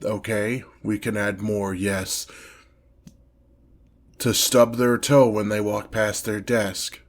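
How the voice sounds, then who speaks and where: Man voicing a slow, pompous, low-voiced demon lord. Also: little pitch movement. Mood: incredulous, exasperated. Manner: pompous, theatrical, deliberate drawn-out delivery. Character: imperious ancient male demon lord, menacing, exasperated by mortals. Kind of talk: ASMR fantasy roleplay monologue.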